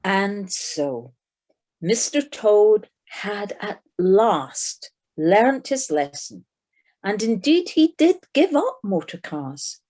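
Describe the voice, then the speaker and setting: A woman's voice: medium pitch, big pitch swings, very slow, a little mellow, heavily acted. A woman narrating a children's animal tale in an audio play, as a scripted storyteller.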